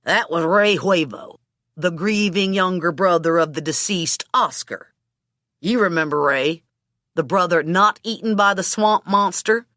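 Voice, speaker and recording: A woman's voice: fairly low pitch, measured pace, fairly bright tone, no room sound, studio quality. A woman voicing a hot-headed, swearing man in an audiobook, blustering about his stolen dog. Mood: bitter, sarcastic. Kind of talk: audiobook character dialogue.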